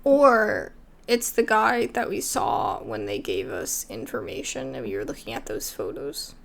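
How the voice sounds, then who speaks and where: A woman's voice: very deep voice, very animated, unhurried, gravelly, fairly bright tone, a little hiss or hum. A woman giving casual gameplay commentary as a video creator. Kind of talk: casual gameplay commentary, also reading dialogue aloud.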